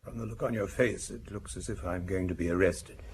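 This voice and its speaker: An actor playing an anxious, faltering, self-justifying murder suspect in a radio drama. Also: male voice, low-pitched, steady tone, brisk, vocal fry. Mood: resigned. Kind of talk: British radio drama acting. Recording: noisy radio drama recording.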